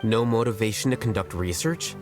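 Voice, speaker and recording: Low-voiced, composed, analytical scholar played by a man. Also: lightly acted. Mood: cool, probing. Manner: measured, matter-of-fact, precise. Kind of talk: video game voice acting. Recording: music behind the voice. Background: game music.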